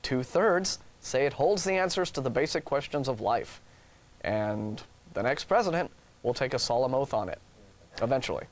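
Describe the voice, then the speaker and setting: A man's voice: medium pitch, expressive, moderate pace. An American man co-hosting a talk show, reading out news items with casual, wry and mocking asides. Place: TV studio.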